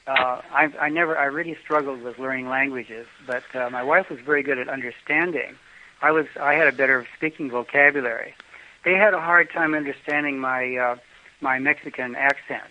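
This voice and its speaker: A man's voice: mid-range voice, fairly even intonation, brisk, noisy background. Rambling older man, dull lo-fi audio. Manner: rambling, many uh fillers and restarts. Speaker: travel guest. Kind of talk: casual interview chat.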